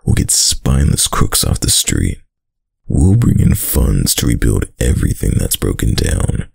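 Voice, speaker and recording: A man playing a dominant, doting young mafia heir in an intimate boyfriend roleplay, with a very deep, gravelly voice and a slow, tough-guy delivery. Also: big pitch swings, darkish tone, dry recording, clean studio sound, full character voice. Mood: earnest, devoted. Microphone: close, dry microphone.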